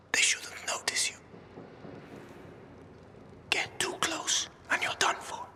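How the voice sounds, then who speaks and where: An actor playing a seasoned, wry survivor guide in a video game, urging and gruffly reassuring his companion. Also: fairly low pitch. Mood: hushed warning. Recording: long hall reverb, background noise.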